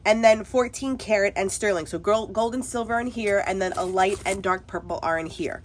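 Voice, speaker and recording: A cheerful woman at her home craft table, filmed by an overhead camera, chatting through a haul. She sounds stuffed up from a cold, and her voice is bubbly and chatty, with frequent laughter. Her pitch sits in a middle range. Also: fairly quick, slight background noise. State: congested from a cold. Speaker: craft vlogger. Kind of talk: casual haul video chat.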